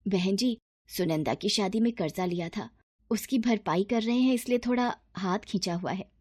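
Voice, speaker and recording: A woman portrays a soft-hearted middle-aged mother in a cartoon moral tale. She speaks humbly and apologetically at a medium pitch. The recording is dry and close. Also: normal speed, husky, dry recording, close to an everyday voice. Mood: meek, apologetic. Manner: meek, apologetic.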